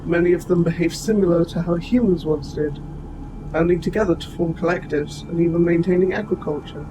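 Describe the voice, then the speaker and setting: A man voicing an old military robot, formal, precise and gently protective, in a scripted audio roleplay addressed to the listener. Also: a little high, fairly even intonation, background noise, natural voice. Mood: calm, informative. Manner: formal, precise, measured phrasing. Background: music.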